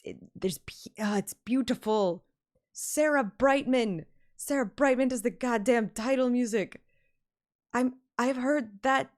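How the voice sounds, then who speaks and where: A woman streaming a game, reading its story aloud like narration and chatting casually about it. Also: on the high side. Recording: game music under the voice. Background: game soundtrack music.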